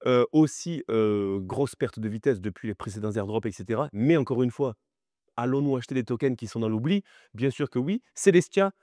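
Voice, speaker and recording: A man hosting a crypto market video, chatty and animated, with many fillers and direct appeals to viewers, sounding a little stuffy from the cold. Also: mid-range voice, dry recording, studio quality. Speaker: crypto channel host. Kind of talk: crypto market analysis vlog.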